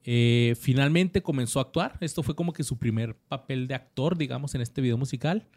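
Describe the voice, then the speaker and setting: A man's voice: mid-range voice, fairly quick, darkish tone, no echo. A man co-hosting a podcast, reading out actor bios with lots of slang and jokes. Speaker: podcast co-host. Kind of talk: casual podcast chat.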